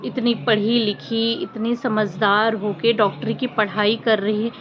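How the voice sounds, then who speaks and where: High-voiced woman, muffled vlog recording. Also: level tone, measured pace, over music. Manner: earnest, reproachful, emphatic. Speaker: vlogger commenting on social media controversy. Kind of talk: informal opinion commentary to viewers. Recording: muffled lo-fi audio with faint noise.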